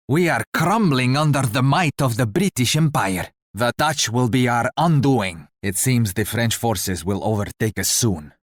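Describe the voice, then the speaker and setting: An actor voicing a proud, jovial explorer-prince who serves as a military ally in a strategy game, with a theatrical, courtly and wry delivery. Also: male voice, on the low side, no room sound, clean studio sound. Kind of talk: video game voice lines.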